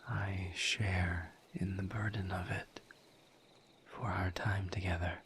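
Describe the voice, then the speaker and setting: A man voicing a gentle, patient misery demon in a breathy, intimate whisper, halting and solemn, for an audio roleplay. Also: sits low, little pitch movement, a little hiss or hum, full character voice. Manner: slow, deliberate, halting with long pauses.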